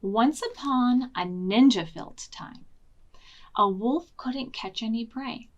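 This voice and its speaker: A woman reading picture books aloud to young children as a storytime host, with expressive, deliberate phrasing and hushed dramatic asides. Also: on the high side, muffled recording. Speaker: children's librarian hosting preschool storytime.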